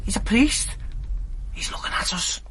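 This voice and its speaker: An actor in a radio drama playing a naive working-class detective's sidekick, talking colloquially and hesitantly. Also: male voice, unhurried, bright, forceful tone, a little hiss or hum. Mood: curious, chatty. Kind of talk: BBC radio drama acting.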